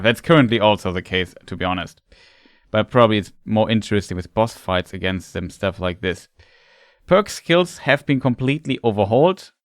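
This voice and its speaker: A young man streams from home with a German accent and talks casually to his chat at a middle pitch. The sound is dry and close on a desk mic. He often pauses to hunt for the right English word. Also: no echo. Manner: hesitant, searching for words. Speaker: streamer reacting to a showcase.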